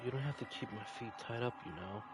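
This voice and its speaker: A man's voice: medium pitch, fast talker, loud music behind the voice. A man reading a visual novel's narration and dialogue aloud, sometimes stumbling and rereading words.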